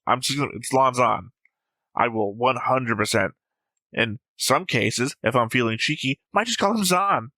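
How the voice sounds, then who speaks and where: A man's voice: mid-range voice, lively intonation, normal speed, sharp tone, no echo. A man chatting casually as a host of a reaction show. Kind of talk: casual chat.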